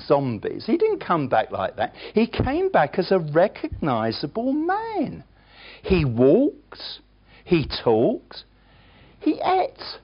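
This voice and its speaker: Slow, highly animated preacher. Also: muffled recording. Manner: emphatic preaching with chatty asides. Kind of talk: sermon.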